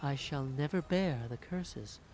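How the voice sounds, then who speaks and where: A woman playing a secretive, imperious witch skilled in curses in a video game, speaking formally and haughtily in measured phrases. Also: low-pitched, darkish tone, soft background music, low-quality recording. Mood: haughty, guarded. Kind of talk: scripted video game voice acting.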